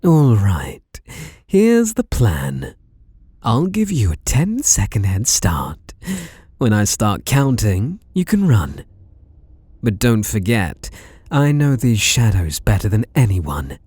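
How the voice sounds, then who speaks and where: A man's voice: fairly low pitch, huge pitch swings, slow pace, darkish tone, slightly rough, no echo, full character voice. A British man playing a sadistic, obsessive masked killer in an ASMR roleplay, teasing and menacing with mock tenderness and whispered words. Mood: playful threat.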